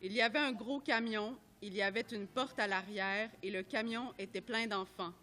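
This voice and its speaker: A woman reading a report aloud at a public event, in a measured, careful way that sometimes trips over names. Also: mid-range voice, steady tone, sharp tone, a little hiss or hum. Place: art gallery at a live event.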